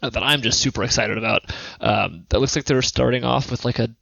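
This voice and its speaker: Male podcast host, average pitch, conversational, muffled lo-fi sound with no room echo. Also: fast talker, gravelly, a bit bright. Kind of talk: casual news rundown and discussion. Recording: dull, dry lo-fi microphone.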